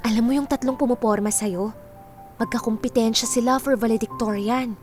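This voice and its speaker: A very high female voice of an actress plays a friendly young student in a Filipino radio drama. She is chatty, candid and full of casual slang. Music plays behind the dialogue. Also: steady tone, fairly quick, a little mellow, natural voice.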